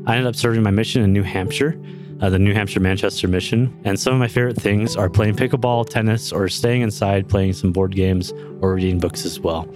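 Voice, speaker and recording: A young man from California co-hosts a podcast with an upbeat, eager delivery. His voice sits somewhat low, and clear guitar music plays behind him. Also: fairly even intonation, brisk. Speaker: podcast co-host. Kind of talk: scripted intro and interview questions.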